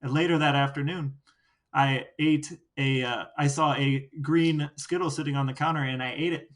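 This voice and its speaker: A man with a slightly high voice. He co-hosts a casual podcast, interviewing and chatting with dry, mock-dramatic delivery. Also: steady tone, moderate pace. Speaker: podcast co-host.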